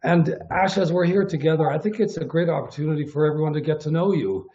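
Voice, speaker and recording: A middle-aged man from Canada hosting a theatre interview, measured and earnest, a little halting when he talks off script. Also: on the high side, dark tone. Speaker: artistic producer and host. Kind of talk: formal introduction then conversational interview. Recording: dull lo-fi audio with faint music.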